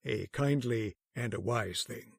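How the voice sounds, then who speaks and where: An actor playing a solemn storyteller who recounts an old tale. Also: male voice, mid-range voice, gravelly. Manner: grave, measured.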